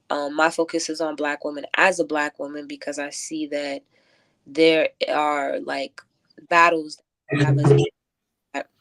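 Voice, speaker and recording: Low-voiced woman, reflective interview guest. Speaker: interview guest, event curator and poet. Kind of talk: casual conversational interview.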